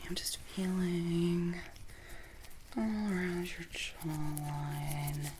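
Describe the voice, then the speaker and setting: A woman doing ASMR, playing a doctor at a checkup, soft-spoken and often whispering as she asks gentle questions close to a sensitive mic. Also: lowish voice, flat delivery, background noise. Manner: slow, gentle clinical questions. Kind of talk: ASMR medical exam roleplay.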